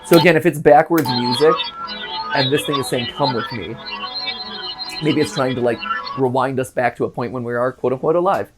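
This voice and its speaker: A man hosting a casual reaction chat, animated and jokey, now and then breaking into silly voices. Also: medium pitch, fairly quick, music in the background. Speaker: host.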